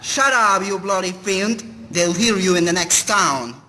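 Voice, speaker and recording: Reverberant, slow, high-voiced theatrical husband played by a man. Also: lively intonation, bright, forceful tone, full character voice. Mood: abusive rage. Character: moody husband turned cruel, menacing drunkard. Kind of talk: radio play acting. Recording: noisy, long hall-like reverb.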